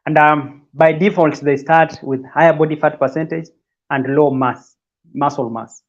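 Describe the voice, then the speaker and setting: A man's voice: on the high side, steady tone, moderate pace, highs cut off. A man from Kenya, a guest on a fitness livestream, talking casually and often repeating words as he explains.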